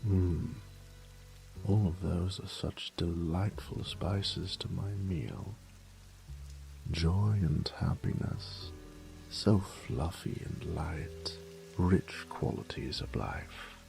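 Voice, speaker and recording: A man's voice: low-pitched, extremely slow, creaky, a little mellow, faint music behind, heavily acted. A man playing an ancient, haughty, predatory vampire lord in an audio drama, with a pompous, condescending, theatrical drawl and whispered asides. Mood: gloating, menacing. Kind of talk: scripted roleplay audio drama.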